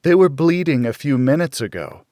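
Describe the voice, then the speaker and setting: A man voicing a lilting, higher-pitched teenage girl. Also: expressive, moderate pace, warm tone, no room sound, clean studio sound, lightly acted. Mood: startled, disbelieving. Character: wary, defiant teenage girl hitchhiking runaway, streetwise. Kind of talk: audiobook character dialogue.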